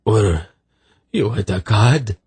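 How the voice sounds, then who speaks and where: A man's voice: on the low side, slow pace, breathy edge, no echo, pitched above the performer's own voice, exaggerated voice. A man voicing a terrified, half-mad soldier in a storybook, pleading and fearful. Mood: frightened. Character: terrified, crazed young soldier, pleading for death.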